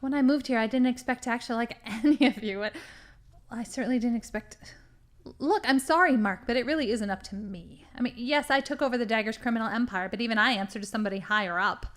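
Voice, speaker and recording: A woman's voice: fairly high pitch, close to an everyday voice. A woman playing a scheming, smugly menacing female villain in an audio drama, with theatrical, dramatic delivery. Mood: conflicted, defensive. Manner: theatrical, gloating storytelling with hushed dramatic emphasis.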